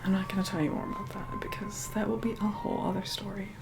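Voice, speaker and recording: A woman playing an aloof, vain young vampire in a soft whispered ASMR roleplay, unhurried, dry and mildly condescending. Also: little pitch movement, natural voice. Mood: dry, exasperated. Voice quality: soft, breathy whispering mixed with low murmur. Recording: music playing behind close whispered voice.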